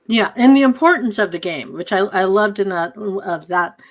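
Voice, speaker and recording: A woman's voice: medium pitch, animated, moderate pace. A woman hosting an informal interview on a video call as a fellow theatre director.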